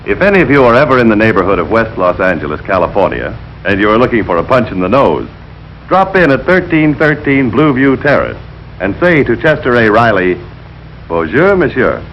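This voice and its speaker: A man's voice: on the low side, very animated, brisk. A man narrating a 1940s radio comedy as its announcer, with wry, formal scripted delivery. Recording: dull, noisy 1940s radio recording.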